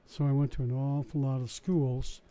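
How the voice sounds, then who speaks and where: Older man with a very dark, mellow voice. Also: medium pitch, little pitch movement, moderate pace. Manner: chatty, wry, lots of restarts and asides. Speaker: interviewee recalling his science show work. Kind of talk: casual oral-history storytelling.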